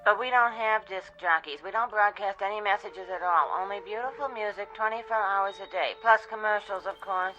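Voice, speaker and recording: A woman's voice: mid-range voice, brisk, hardly any pauses, music in the background, close to an everyday voice. An actress playing a courteous, unflappable, businesslike female radio station receptionist in a radio drama, heard over a phone line. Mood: bland, courteous. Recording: dull phone-line sound.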